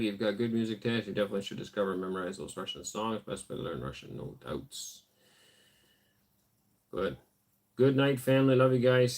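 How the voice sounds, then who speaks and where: A tired man hosting a casual homestead livestream, reading viewer comments aloud and answering in a relaxed way with fillers. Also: lowish voice.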